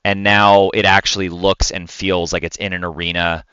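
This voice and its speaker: A man's voice: low-pitched, level tone, normal speed, sharp tone, clear, resonant tone, dry recording, muffled recording. A man talking casually and enthusiastically, in an explanatory way, as a podcast co-host on video games.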